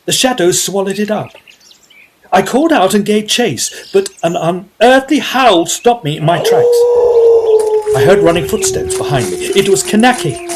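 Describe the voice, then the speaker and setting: A man playing an earnest gentleman ghost hunter who narrates a period Gothic audio drama in formal, old-fashioned phrases. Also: high voice, very animated, normal speed, very noisy. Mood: suspenseful.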